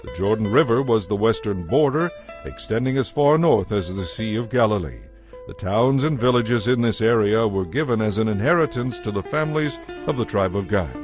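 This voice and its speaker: A man reading scripted scripture narration as narrator, in a measured, formal manner. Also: lowish voice, animated. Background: background music.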